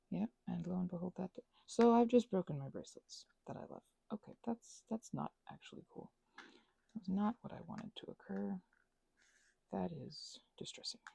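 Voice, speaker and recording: A woman rambling casually as she thinks aloud over a craft, halting and murmuring to herself, with playful asides to listeners. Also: lowish voice, vocal fry, warm tone, breathy edge, no room sound. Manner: halting, drifts into murmurs and self-talk. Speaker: ASMR and audio creator.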